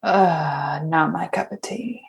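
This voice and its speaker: A woman playing a tough, cynical starship captain with a blunt, deadpan delivery in a comedic sci-fi radio play. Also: low-pitched, slow, creaky, heavily acted. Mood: dry, teasing.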